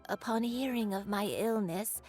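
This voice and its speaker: A woman voicing a frail, forgetful old granny in a video game, gentle, halting and apologetic. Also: mid-range voice, soft background music, close to an everyday voice. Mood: hesitant recollection.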